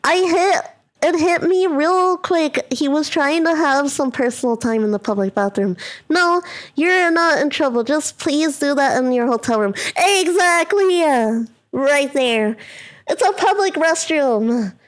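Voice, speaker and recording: High-pitched young woman, giggly, reads posts out loud and riffs on them, streamer chat. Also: lively intonation, a little slow. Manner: giggly, reads stories aloud then riffs crudely. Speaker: VTuber reacting to a video. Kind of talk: casual reaction commentary, reading posts aloud.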